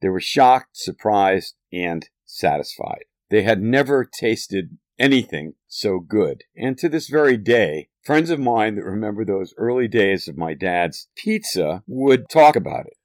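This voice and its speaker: A man with a lowish voice recounts family memories with warmth in his words and an easy, lively delivery. He chuckles here and there as he goes. The sound is dry and close, with no room around it. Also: a little slow, hardly any pauses, dry recording. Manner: fond, lively, with occasional chuckles. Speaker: storyteller. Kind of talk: nostalgic personal narration.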